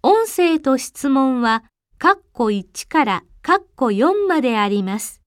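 Woman, test narrator, formal reading, high voice, dry recording. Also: very animated, normal speed, no room sound. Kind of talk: formal reading of exam instructions.

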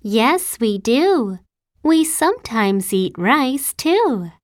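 A woman voicing a cheerful young schoolgirl from Italy, in a slow, clearly articulated listening-test dialogue. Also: fairly high pitch, very animated, dry recording, clean studio sound, exaggerated voice.

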